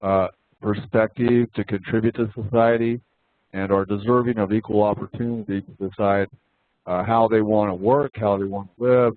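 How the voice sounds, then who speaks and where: Low raspy man on a phone line. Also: no echo. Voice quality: slightly raspy. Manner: steady, with frequent "uh" fillers. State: has a cold. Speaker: nonprofit organization representative. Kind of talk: prepared overview on a webinar. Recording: dry, tinny phone line.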